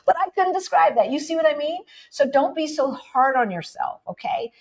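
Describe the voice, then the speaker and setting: A woman's voice: high-pitched, moderate pace. A woman from the United States co-hosting a livestream, talking casually and with energy, laughing often and calling her viewers "y'all". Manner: animated, laughing, addresses audience as y'all. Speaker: livestream co-host.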